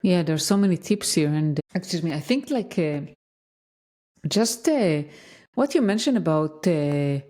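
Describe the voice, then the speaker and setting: Casting: woman host, low mellow voice, halting questions. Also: animated. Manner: hesitant, many "uh" fillers. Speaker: podcast host. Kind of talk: interview questions.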